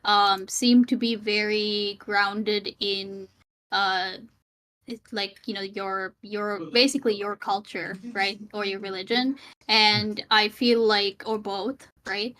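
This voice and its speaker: A woman's voice: medium pitch, fairly even intonation, slow, sharp tone, low-quality recording. A woman from Sri Lanka, a streamer, talking over a voice call in a casual debate, hesitant, with many fillers and restarts.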